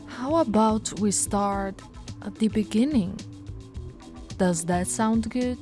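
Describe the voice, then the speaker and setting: A woman's voice: medium pitch, takes it slowly, mellow, faint music behind, natural voice. A woman voicing a flirty but firm policewoman in an ASMR roleplay, with a teasing, coaxing, authoritative manner. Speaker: voice actor in roleplay audio.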